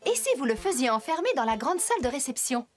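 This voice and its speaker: A sweet-talking cartoon queen, high-pitched and full of swoops. She coaxes with affected sweetness, and music plays clearly behind her. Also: big pitch swings, quick pace. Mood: coaxing. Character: sweet-talking queen, coaxing wife of a king. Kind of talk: French children's cartoon acting.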